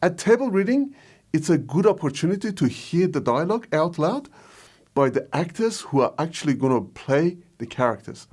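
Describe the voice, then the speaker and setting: A man's voice: a little high, big pitch swings, normal speed, darkish tone. A man presenting a scripted explainer as a video host and filmmaker. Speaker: video host, short film director.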